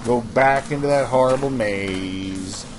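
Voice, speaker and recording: A man's voice: mid-range voice, slow pace, noisy background. A man hosting a let's play, reading in-game journals aloud and making sarcastic asides. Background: music.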